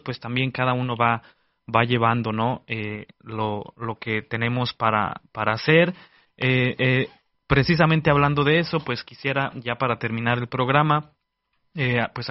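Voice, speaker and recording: Male radio host, mid-pitched, measured pace; dull, dry studio sound. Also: no room sound. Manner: measured, frequent "eh" fillers. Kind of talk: radio talk show hosting.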